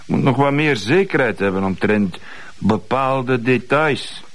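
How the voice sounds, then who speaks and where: A man's voice: medium pitch, animated, natural voice. An actor playing a sly, money-grubbing male investigator with a Flemish accent and a smug, taunting manner. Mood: sly, needling. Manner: smug, taunting, drawn-out phrases. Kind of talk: television crime drama acting.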